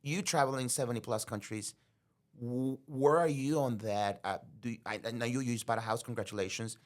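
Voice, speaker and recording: A man hosts a podcast in a relaxed, conversational way. He is chatty and keeps affirming what his guest says. He often breaks off to share his own stories. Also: medium pitch. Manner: chatty, affirming, quick to agree. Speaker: podcast host. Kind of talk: casual interview talk.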